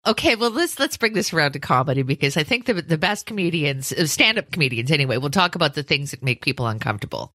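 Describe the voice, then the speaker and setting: A woman's voice: deep voice, animated, fast, hardly any pauses, pushed and bright, dry recording. A middle-aged woman co-hosting a podcast, chatting casually with dry, wry quips. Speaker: podcast co-host. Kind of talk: casual chat, interviewing.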